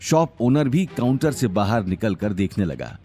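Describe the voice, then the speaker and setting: A man's voice: lowish voice, big pitch swings, measured pace. A man narrating a scripted audio drama in a dramatic storytelling style.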